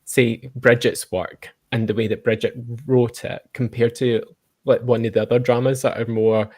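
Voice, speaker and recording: A man's voice: medium pitch, fairly even intonation, normal speed, darkish tone. A man hosting a casual interview, asking rambling, informal questions. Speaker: host, audio drama producer and actor.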